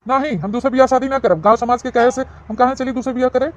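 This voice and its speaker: A gentle village husband, as a voice actor plays him, soothing and reassuring in an Awadhi accent. The voice sits at a middle pitch. The recording sounds dull and muffled. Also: fast talker, slight background noise, lightly acted.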